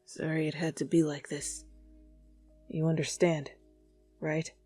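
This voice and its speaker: A woman voicing the gentle, wistful ghost of a young man in an ASMR fan fiction, speaking low, hushed and casually tender. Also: level tone, a little slow, soft background music. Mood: tender, apologetic.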